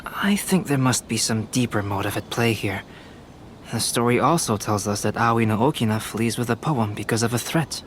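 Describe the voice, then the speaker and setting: A man voicing a calm, composed young alchemist and artist in a video game, speaking in a measured, reasoning way. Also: medium pitch, a little hiss or hum, lightly acted. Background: game music.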